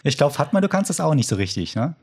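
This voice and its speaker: A man's voice: a little high, very animated, a little mellow, slightly rough, dry recording, clean studio sound. A man from the Eifel region, teasing and opinionated in a casual chat. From Eifel, Germany. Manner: teasing, opinionated, quick bursts.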